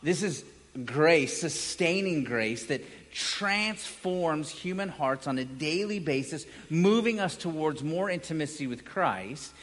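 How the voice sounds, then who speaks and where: A man's voice: fairly high pitch, expressive, slow pace, a bit bright. Male pastor preaching in a reverberant hall. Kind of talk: conversational sermon. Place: large church hall, preaching to congregation.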